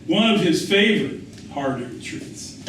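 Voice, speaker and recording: A man reading a literary memoir aloud as its author, with a measured storytelling delivery. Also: a little high, animated, a bit bright. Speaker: author reading his essay. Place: indoor public reading. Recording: echoey room, distant mic, faint noise.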